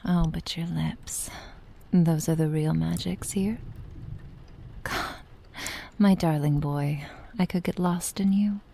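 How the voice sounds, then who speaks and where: A woman playing a possessive, doting witch in an intimate ASMR roleplay, speaking softly and unhurriedly, often slipping into a whisper. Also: deep voice, fairly even intonation, creaky, slight background noise, full character voice. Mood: enraptured, tender. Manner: soft, unhurried, affectionate, often dropping to a whisper.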